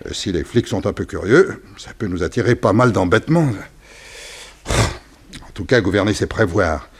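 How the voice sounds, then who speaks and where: An actor playing a cynical, commanding gang boss, curt and sardonic, in a 1960s French radio crime drama. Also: male voice, on the low side, lively intonation, moderate pace, husky. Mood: exasperated, curt.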